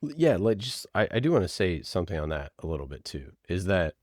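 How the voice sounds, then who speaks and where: A low-pitched man giving conversational answers as an interview guest on a podcast. He is emphatic, often repeating a phrase to drive it home, and the sound is close and dry. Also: sing-song, fast, dry recording.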